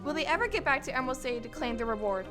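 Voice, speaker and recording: A woman's voice: high voice, animated, racing, over music. A woman hosting an audio drama, reading scripted announcements. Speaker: host announcer.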